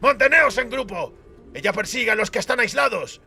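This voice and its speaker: A man's voice: very high-pitched, animated, a little fast, gravelly, sharp tone, soft background music, voice pushed up, full character voice. A man voicing an alarmed, cursing villain leader who barks urgent orders in an audiobook.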